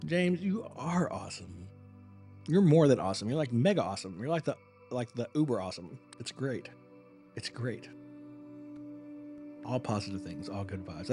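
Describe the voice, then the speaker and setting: A man's voice: mid-range voice, a bit bright. Tired male streamer, rambling and earnest. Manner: rambling, earnest, repeats words as he restarts. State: tired, voice wearing thin. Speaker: acting and performance coach, streamer. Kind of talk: casual live-stream chat with viewers.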